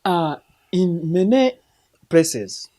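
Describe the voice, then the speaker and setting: A man's voice: on the high side, sing-song, very slow, warm tone. A man from Uganda, hosting a film recommendation show in an earnest, preacherly way, often restarting his words. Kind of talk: casual spoken film review.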